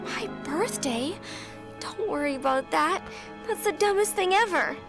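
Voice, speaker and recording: A woman's voice: very high-pitched, animated, unhurried, full character voice. A woman playing a sweet, earnest, girlish teenage cheerleader in a video game cutscene. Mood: tender. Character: sweet, perky teenage cheerleader girlfriend with magic. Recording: loud game music behind.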